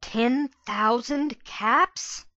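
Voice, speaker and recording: A woman's voice: on the high side, extremely slow, sharp tone, no room sound, dull audio, full character voice. A woman playing a polite, hesitant young female pony who is unsure of herself and tends to stammer. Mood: surprised, unsure. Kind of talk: dramatic reading of fan fiction.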